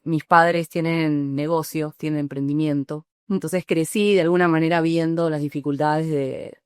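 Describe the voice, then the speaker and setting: Low-voiced Argentine woman explaining calmly. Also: moderate pace, clear, resonant tone, dry recording, clean studio sound. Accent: Argentine Spanish. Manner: thoughtful, restarts phrases, explanatory. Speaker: startup founder, guest. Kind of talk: conversational interview answers.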